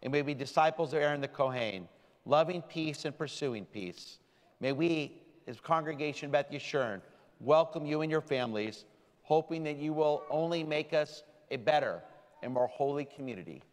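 A male rabbi addresses his congregation with a slightly high voice, leaning into key phrases with slow, deliberate emphasis. His words ring out with a long reverb tail from a large sanctuary, picked up on a stand mic. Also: lively intonation. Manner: warm, deliberate preaching with slowed emphasis. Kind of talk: sermon to a congregation. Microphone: stand mic from a far camera.

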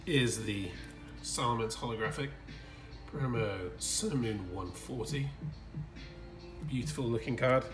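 A hoarse, croaky man hosting his card-opening channel in casual chat, often clearing his throat and pausing with fillers. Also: medium pitch, roomy sound, far from the mic. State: croaky, hoarse from screaming at a game. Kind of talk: casual unboxing commentary. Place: indoor room with collectibles on shelves.

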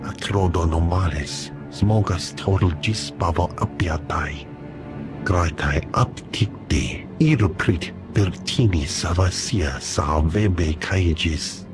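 A man voicing a deliberate male alien underworld dealer in a video game cutscene. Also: low voice, music in the background. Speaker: alien contact.

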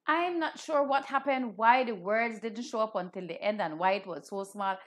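A woman's voice: a little high, normal speed. A woman, hosting a children's Bible lesson, asking questions and repeating key points. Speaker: children's Bible show host, Anglican clergy. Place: small room indoors.

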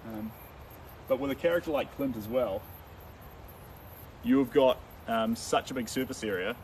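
A young man chatting casually with viewers while painting on a livestream, relaxed with frequent ums. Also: mid-range voice, unhurried. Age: young adult. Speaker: painter livestreaming his work. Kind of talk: casual livestream chat answering viewer comments. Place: outdoors on a lawn under open sky. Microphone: camera standing a few meters away. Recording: distant mic, loud outdoor wind and leaf noise.

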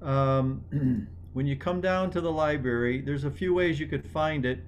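A man's voice: a little high, moderate pace, highs cut off, slight background noise. A man hosting an online music class session, talking with hesitant ums and restarts.

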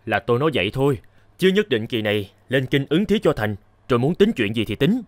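A young travelling scholar from an animated folk tale, played by a man. He speaks in an old-fashioned courteous way, with a mid-range voice that swings expressively in pitch. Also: fast, no echo, natural voice. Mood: earnest.

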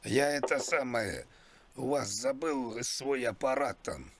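A man chatting casually as a prank caller, mock-polite and crude, with a gravelly, husky voice. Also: mid-range voice, lively intonation, slow pace. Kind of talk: casual prank phone chat.

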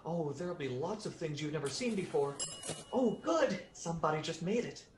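A man playing a gentle, very old angel in a radio drama, earnest, innocent and fussily polite. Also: fairly high pitch, expressive, normal speed, echoey room, slight background noise, natural voice. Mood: innocent, chirpy.

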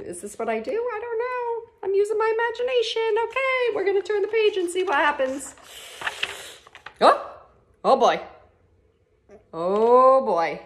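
A woman in her thirties reading a picture book aloud to children in an animated, expressive way, like a teacher at story time. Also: sits high. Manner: expressive, with gasps and drawn-out words for children. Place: living room at home, on a couch. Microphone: phone camera about a metre away.

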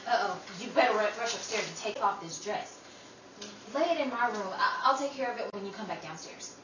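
A woman's voice: mid-range voice, expressive, a little fast, close to an everyday voice. A woman playing a sensible, protective working sister in an old-time radio style drama, motherly and emphatic. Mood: flustered. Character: Emily, sensible, protective young working sister, firm peacemaker. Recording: roomy, distant mic, noisy.

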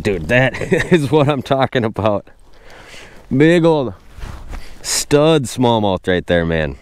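A man hosting a casual fishing vlog outdoors on a frozen lake, recorded on a wearable camera, exclaiming excitedly at each catch. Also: on the low side, clean tone, no room sound. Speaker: host. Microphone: wearable action camera.

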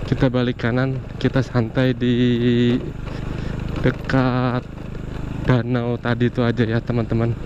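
Male motovlog host, even-toned and relaxed, talking to viewers while riding; muffled camera audio under steady engine noise. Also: medium pitch. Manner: unhurried, drawn-out phrases, addresses viewers often. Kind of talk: casual narration while riding. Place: outdoors on a motorbike on rural roads. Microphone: action camera on the bike.

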